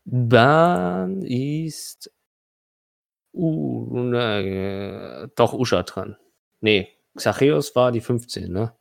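A man's voice: medium pitch, drawn-out words, gravelly, no echo, clean studio sound. A man narrating tabletop combat as game master, hesitant and often correcting himself.

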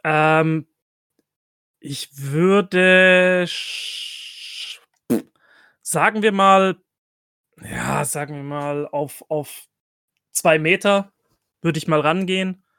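Higher-voiced man thinking aloud while gaming. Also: extremely slow, long pauses, pushed and bright, smooth, no room sound, clean studio sound. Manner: hesitant, repeating and revising himself. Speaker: player in a tabletop role-playing game. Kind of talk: casual game chat, thinking aloud.

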